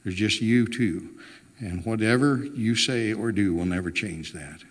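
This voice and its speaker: A man's voice: lowish voice, measured pace, long reverb tail. A man voicing a reluctant, plainspoken husband in a storybook reading, stubborn, wry and resigned. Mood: resigned, tender.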